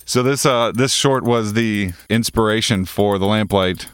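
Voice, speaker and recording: A man's voice: lowish voice, a little slow, fairly bright tone, smooth, no echo. A man reading scripted credits as the host of a radio play podcast. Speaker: magazine editor and podcast host. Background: music.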